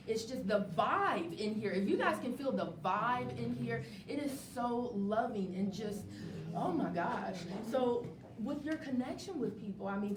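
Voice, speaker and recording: A roomy, off-mic recording with clear background noise carries a woman hosting a live group interview. She speaks in a fairly high voice and is encouraging, often affirming what her guests say. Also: distant mic. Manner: encouraging, affirming with frequent short responses. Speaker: host interviewing a stage play cast.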